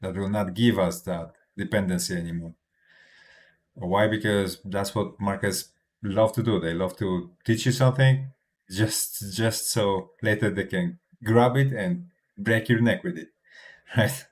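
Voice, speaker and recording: A man with a low, deep voice talks through charts for a live audience in English that is not his first language. His delivery stumbles and restarts often, tagged with "right?", and loosened by small chuckles. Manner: halting, with frequent restarts and tag "right?"; chuckles between points. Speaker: crypto trading streamer. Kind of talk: casual livestream chart commentary.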